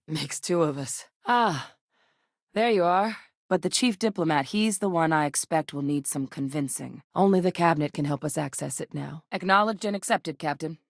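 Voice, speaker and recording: No-nonsense scientist lady from a game, low-ish voice, super dry close sound. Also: normal speed, gravelly, no echo. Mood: measured. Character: composed, capable female scientist, blunt and practical. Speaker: scientist working alongside the captain. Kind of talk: game character voice lines.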